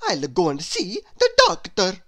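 A woman's voice: lowish voice, wildly swooping pitch, unhurried, full character voice. A woman voicing a small, hapless, bouncy male ball creature in a children's storybook, exclamatory and dramatic. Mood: fed up, resolved.

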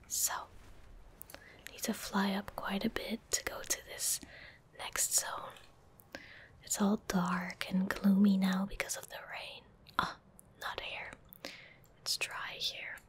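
A woman in her twenties giving soft-spoken ASMR game commentary in a breathy, gentle whisper, unhurried and close to the microphone. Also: mid-range voice. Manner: slow, unhurried, gentle murmuring. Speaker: ASMR streamer. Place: small indoor room at a desk. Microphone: close desk microphone.

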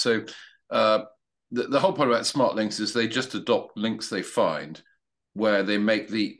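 A man speaking casually on a video call, opinionated, with frequent halting restarts. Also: fairly low pitch, a bit bright. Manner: hesitant, many restarts, opinionated. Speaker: meetup participant.

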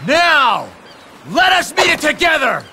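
A man's voice: extremely high pitch, sing-song, a little slow, gravelly, pushed and bright, shouting, a little hiss or hum, heavily acted. A man acting a proud, eager young warlord in a video game, proclaiming exultantly.

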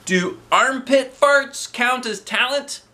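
A man voicing excitable, indignant schoolchildren from a children's storybook, exclaiming loudly. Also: slow pace, a little hiss or hum, exaggerated voice. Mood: indignant, eager. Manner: loud, exclaiming, high-pitched. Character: excitable, indignant schoolchildren calling out, boys and girls.

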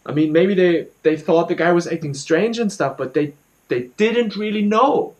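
A young man performing a dramatic monologue as an anxious, guilt-ridden student, speaking haltingly and repeating his words. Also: high-pitched, lightly acted. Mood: anxious, uneasy. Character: anxious, guilt-ridden student confiding about a troubled friend.

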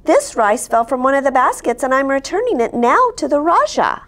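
Storybook heroine read by a woman: village girl, clever, courteous, sincere, lively pitch movement. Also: moderate pace, full character voice. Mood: earnest protest. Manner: polite, earnest. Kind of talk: storybook character reading.

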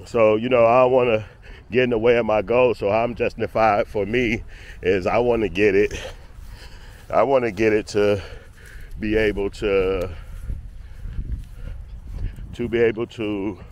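A man's voice: lowish voice, fairly even intonation, measured pace, long pauses. A man vlogging casually on a walk outdoors in a park with his dog, rambling through his thoughts and calling to the dog now and then. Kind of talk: casual walk-and-talk vlog.

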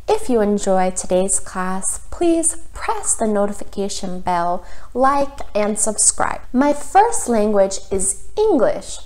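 A woman's voice: mid-range voice, darkish tone. A woman in her twenties presenting a scripted English lesson to camera as a teacher, friendly and carefully enunciated, stressing key words. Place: small living room indoors. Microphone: lapel.

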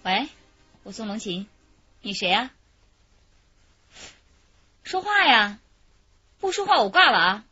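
A woman's voice: mid-range voice, very animated, takes it slowly, long pauses, bright, forceful tone, slightly rough, faint music behind, heavily acted. An actress playing an edgy, easily cowed woman threatened by a blackmailer in a radio drama. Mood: wary, impatient. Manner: edgy, flustered, yielding. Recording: slightly dull radio drama recording.

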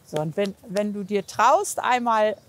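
A woman with a medium-pitched voice chats as an equine therapist and coach, offering reassurance as she goes. Her delivery is casual and encouraging. There is faint noise around her. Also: a little slow. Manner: encouraging, reassuring, with frequent praise. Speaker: horse physiotherapist assessing horse and rider. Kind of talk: casual coaching chat and commentary.

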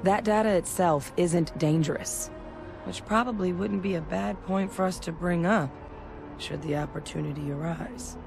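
A woman playing a candid, measured military veteran in a video game, speaking deliberately. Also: fairly low pitch, vocal fry, music in the background. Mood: measured, reassuring. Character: measured, candid female soldier-scientist, war veteran.

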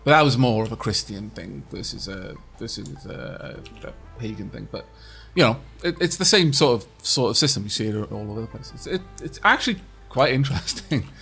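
A man's voice: medium pitch, animated, brisk, creaky, fairly bright tone, slightly rough, faint music behind. Welsh man chatting over gameplay. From Wales. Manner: rambling, chatty with frequent fillers and restarts. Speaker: gaming streamer. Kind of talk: casual co-op gameplay chat.

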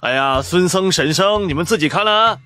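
A man's voice: high voice, animated, brisk, bright, forceful tone, soft background music, voice pushed up. A man voicing a wily, skinny businessman in his fifties in an audiobook, drawling and nitpicking in Cantonese-accented Mandarin. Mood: smug haggling.

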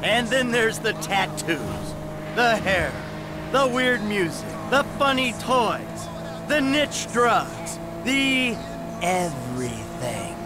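A middle-aged American career criminal in a video game, with a notably high voice. He is dry and sardonic, forever needling and arguing, over prominent music. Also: very animated, takes it slowly, exaggerated voice.

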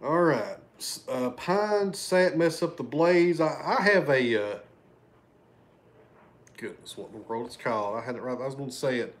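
Man, thirties, drawl, relaxed livestream chatter, thanking viewers, home living room. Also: mid-range voice, moderate pace. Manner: chatty, thanks viewers by name, loses his train of thought. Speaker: livestream host. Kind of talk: casual livestream chat reading super chats.

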